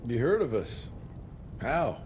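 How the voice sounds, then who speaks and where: An actor playing a gruffly sardonic Wild West monster hunter in a video game, terse and deadpan. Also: male voice, medium pitch, takes it slowly. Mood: businesslike. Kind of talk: video game cutscene acting. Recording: clear ambient noise behind.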